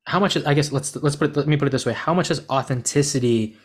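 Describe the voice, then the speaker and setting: A man's voice: medium pitch, fast. A man hosting an interview, asking long questions in a casual, rambling way and restarting himself as he goes. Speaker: podcast host.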